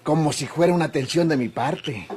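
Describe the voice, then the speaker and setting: A man's voice: fairly high pitch, fairly quick, natural voice. Man acting an oily rural Mexican flirt. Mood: sheepish, placating. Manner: ingratiating, rustic. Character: oily, flirtatious rustic male drifter. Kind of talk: Mexican golden-age radionovela acting. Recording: noisy old radio drama recording.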